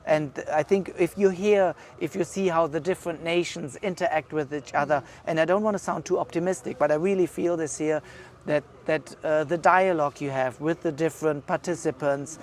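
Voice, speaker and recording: A man's voice: fairly high pitch, fairly even intonation, a little fast, a little hiss or hum. A man with a German accent, a curator answering interview questions outdoors in a thoughtful, hesitant way with many fillers. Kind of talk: casual interview. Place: outdoors at an art exhibition.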